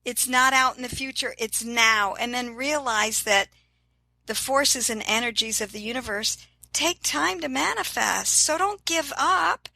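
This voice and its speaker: A woman's voice: fairly high pitch, unhurried, vocal fry, sharp tone, no room sound, low-quality recording. An older woman talking as an interview guest, upbeat and encouraging in a casual motivational tone.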